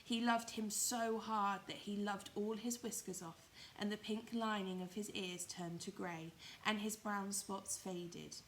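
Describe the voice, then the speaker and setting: A woman's voice: medium pitch, steady tone, a little slow, hardly any pauses, a little hiss or hum. A woman reading a children's story expressively, with emphatic, dramatised phrasing. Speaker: primary school storyteller.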